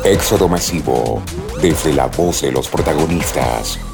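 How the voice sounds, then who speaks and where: A man's voice, deepened by processing, slowly speaking a dramatic show tagline from a distance. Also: lively intonation, vocal fry. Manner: slow, drawn-out delivery. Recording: loud music under a distant voice.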